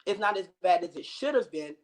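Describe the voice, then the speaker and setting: A woman's voice: mid-range voice, expressive, fairly quick. An American woman in her late thirties speaking casually and with passion as an interview guest and activist. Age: late 30s. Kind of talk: casual, impassioned conversation.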